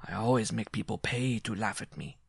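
A man voicing a proud, touchy, lovestruck circus dwarf in a story, with a shrill voice and florid, pompous speech. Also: fairly quick, creaky, slightly rough, dry recording, studio quality. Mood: haughty.

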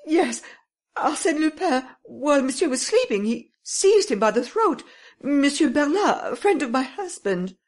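A woman voicing an excitable, dramatic French lady who exclaims breathlessly. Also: high voice, a little slow, voice pushed up. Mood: insistent.

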